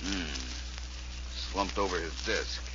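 An actor playing a cool, urbane gentleman detective, suave and measured, in 1940s radio drama style. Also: low voice, steady tone, noisy background. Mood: sober. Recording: muffled vintage 1940s radio recording.

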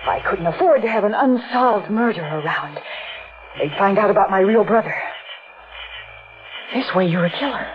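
An actress playing a cold, scheming murderess in a 1940s radio thriller, hard, scornful and matter-of-fact. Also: female voice, medium pitch, animated, moderate pace, pauses often, natural voice. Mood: calculating. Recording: noisy, muffled old radio broadcast.

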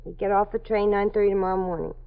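A woman's voice: mid-range voice, fairly even intonation, fast talker, vocal fry, smooth, natural voice. An actress playing a frightened young woman being questioned by police, defensive and pleading, in a 1950s radio drama. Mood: confessing. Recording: dull old radio broadcast recording.

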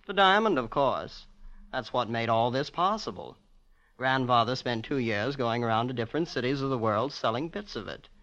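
Casting: man for easygoing rich young fellow, 1940s radio play, casual with widely varied pitch. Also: expressive, moderate pace, fairly bright tone, highs cut off, lightly acted. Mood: nonchalant. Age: young adult. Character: nonchalant young heir to a secret fortune. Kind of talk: 1940s radio drama acting.

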